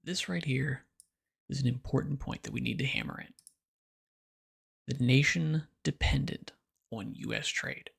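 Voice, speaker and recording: Brief: American male pundit, sarcastic and lecturing, rough fry-heavy voice. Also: mid-range voice, a little slow, long pauses. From United States. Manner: blunt and sarcastic, lecturing the listener. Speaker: political commentator. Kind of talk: opinionated monologue.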